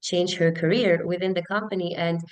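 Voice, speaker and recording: Female HR professional, 30s, Bosnian accent. Smooth, slightly low voice, conversational and earnest in a webinar interview. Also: steady tone, moderate pace, rough recording. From Bosnia and Herzegovina. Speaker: HR business partner, webinar guest.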